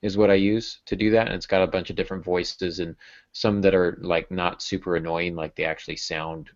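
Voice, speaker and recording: Low-voiced American man, dull call audio. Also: fairly even intonation, a little fast, no echo. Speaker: podcast host and novelist. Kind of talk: casual conversational podcast chat. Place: small home room with bookshelf. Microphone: earbud headset on video call.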